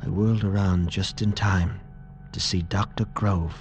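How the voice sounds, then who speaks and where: A man's voice: sits low, steady tone, measured pace, dull audio. An actor playing a frightened, desperate military lieutenant, telling his story urgently in 1940s radio drama style. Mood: fearful. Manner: urgent, intense, pleading. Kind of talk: 1940s radio acting, dramatic first-person narration. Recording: old radio broadcast, faint music behind.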